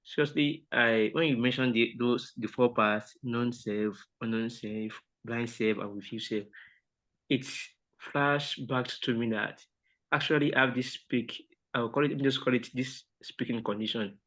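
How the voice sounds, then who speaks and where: A man's voice: mid-range voice, unhurried, a bit bright. A man, a mentorship participant, sharing a reflection politely and hesitantly, with many restarts. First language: Yoruba. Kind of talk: sharing a reflection on a video call. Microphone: online call audio. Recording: dull lo-fi call audio.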